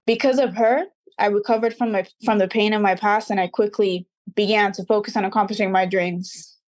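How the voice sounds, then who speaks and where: A woman's voice: mid-range voice, fairly even intonation, a little fast, a little mellow. Young woman. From Dubai. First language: Arabic. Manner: earnest, reflective, slightly formal. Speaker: guest, recording artist. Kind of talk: interview answers.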